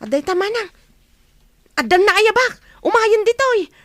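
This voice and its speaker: A woman's voice: extremely high pitch, expressive, moderate pace, fairly bright tone, no room sound. A woman playing a concerned, helpful younger village neighbour in a radio drama.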